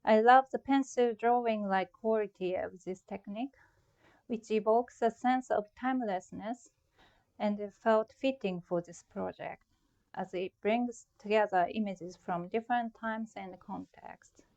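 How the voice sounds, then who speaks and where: A woman's voice: medium pitch, measured pace, a little mellow, highs cut off. A middle-aged woman from Japan with a Japanese accent, giving a prepared, reflective talk about her own art. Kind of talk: prepared artist talk presentation.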